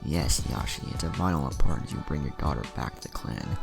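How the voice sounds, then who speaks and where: A man's voice: a bass voice, expressive, fast, vocal fry, over music, heavily acted. A man voicing a stern, ambitious old clan elder, solemn and calculating, in a narrated story.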